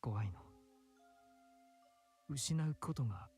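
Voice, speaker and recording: A male actor playing a soft-spoken, effeminate, anxious young man in a Japanese visual novel, with feminine phrasing and a hushed, pensive manner. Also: mid-range voice, lively intonation, a little slow, vocal fry, breathy edge, full character voice. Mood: wistful, earnest. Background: game music.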